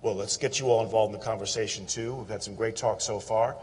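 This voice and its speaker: A man's voice: on the low side, steady tone, fast, darkish tone, slight background noise. A man moderating a panel discussion. He is polite and directive, with some fillers. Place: conference hall. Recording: long hall reverb.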